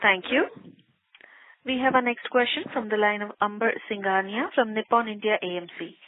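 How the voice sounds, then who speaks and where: A woman's voice: medium pitch, fairly even intonation, normal speed, dry recording. A woman working as a conference call operator, making formal scripted announcements. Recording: narrow telephone line.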